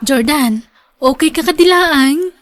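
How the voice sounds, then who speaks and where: An actress playing a caring but quickly irritated young girlfriend in an Ilocano radio drama, expressive and direct. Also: sits high, a faint crowd. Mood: concerned.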